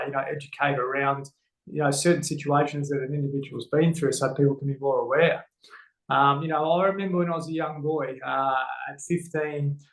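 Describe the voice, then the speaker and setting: A man's voice: on the high side, echoey room, low-quality recording. A man with an Australian accent speaking earnestly as an interview guest, with many fillers and restarts. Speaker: guest, men's health educator. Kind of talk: casual interview talk.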